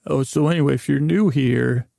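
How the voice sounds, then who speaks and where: A man hosting a podcast in a gravelly voice, delivering a whimsical monologue that meanders haltingly through tangents and self-interruptions. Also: medium pitch, mellow, no room sound, studio quality. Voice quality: creaky, gravelly. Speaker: podcast host.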